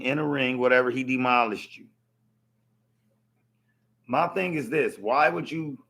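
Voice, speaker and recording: A man with a hoarse voice from yelling, ranting bluntly to camera about a boxing match and swearing freely. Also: medium pitch. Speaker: vlogger reacting to a boxing match. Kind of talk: casual rant to camera.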